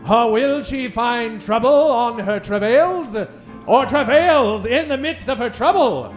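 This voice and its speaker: A man's voice: very high voice, animated, slow pace, faint music behind. A man hosting a radio theatre show, delivering theatrical scripted introductions in a grandiose, mock-dramatic, declamatory old-time announcer style.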